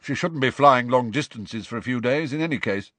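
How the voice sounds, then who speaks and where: Man voicing a gruff, brisk woman professor. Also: mid-range voice, sharp tone, no room sound, lightly acted. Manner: gruff, clipped. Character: gruff, brisk, practical woman professor who smokes a pipe.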